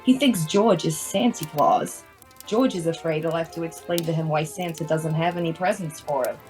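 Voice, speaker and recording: A woman's voice: deep voice, normal speed, a little mellow, soft background music, natural voice. A woman in an audio play acting a caring, resourceful wife who fusses kindly over everyone. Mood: concerned, plotting. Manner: fussing, kindly.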